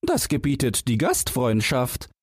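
A storybook giant's wife, acted out by a man, speaks in a voice pitched well up. She is kindly but firm, a protective woman who admonishes. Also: huge pitch swings, a little slow, slightly rough, no echo, studio quality, exaggerated voice. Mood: scolding, pleading.

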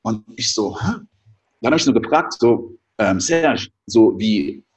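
A man in his thirties, raised in the Ivory Coast, telling his life story as an interview guest with lively, filler-heavy talk. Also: fairly low pitch, measured pace. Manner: animated storytelling with many fillers.

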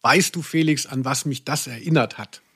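A man's voice: mid-range voice, moderate pace, creaky, very noisy. A man co-hosting a casual podcast discussion in a reflective way, searching for his words. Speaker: podcast co-host.

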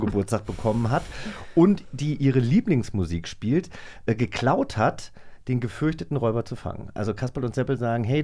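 A man's voice: on the low side, a little mellow. A man hosting a casual interview podcast, enthusiastic and affirming with the guest. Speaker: podcast host.

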